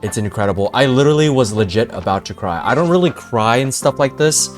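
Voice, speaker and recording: A man's voice: mid-range voice, animated, fast, soft background music. A man reacting casually to a video game on a livestream, slangy and sweary, with an awestruck manner.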